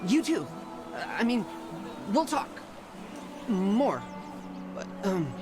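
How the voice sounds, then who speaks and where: An actor playing an eager, chatty teenage Spartan younger brother in a video game, excitable and informal, over background music. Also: male voice, high voice, slow, full character voice. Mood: eager, awkward.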